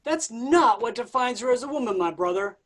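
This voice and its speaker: A woman's voice: a little high, lively intonation, brisk. Woman acting a vain, offhand slacker messiah. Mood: bored, offhand. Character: vain, slangy, bored slacker messiah, about thirty, male. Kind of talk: staged play reading.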